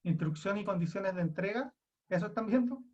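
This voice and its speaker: A man's voice: sits high, expressive, a little fast, muffled recording. A young man with a Chilean accent giving an online university lecture, casual and self-deprecating, thinking aloud with restarts. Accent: Chilean Spanish. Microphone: computer mic on a video call.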